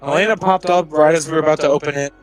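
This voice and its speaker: A man's voice: a little high, fairly even intonation, rapid-fire, music in the background. Rambling young man recapping a game. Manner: rambling, filler-heavy. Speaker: player. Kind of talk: casual recap of the last session.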